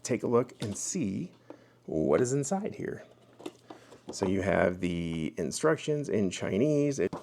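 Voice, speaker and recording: A man's voice: sits low, creaky, darkish tone. A man giving a casual, hands-on product review as the host of his own video.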